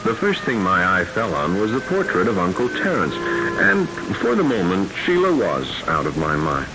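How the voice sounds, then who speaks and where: A man's voice: sits low, wildly swooping pitch, normal speed, exaggerated voice. An actor playing an earnest, lovestruck young man in a radio drama, narrating and talking with stammering eagerness. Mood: dreamy, wistful. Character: lovestruck, inquisitive young man visiting his Irish relatives. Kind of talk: radio drama acting with first-person narration. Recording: lo-fi radio drama broadcast with music.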